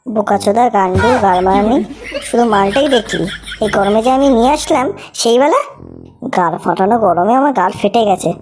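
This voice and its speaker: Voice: mid-range voice, fairly quick, darkish tone, faint music behind, lightly acted. An actor voicing a put-upon, foul-mouthed male friend in a comedy cartoon, complaining and scolding. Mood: indignant. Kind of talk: Bengali comedy cartoon voice acting.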